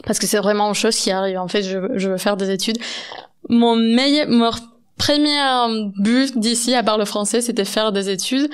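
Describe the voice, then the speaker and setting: Woman, smooth clear voice. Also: medium pitch, normal speed. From Catalonia, Spain. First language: Catalan. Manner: earnest, laughs often, searches for words in French. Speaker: podcast host. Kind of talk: casual conversation.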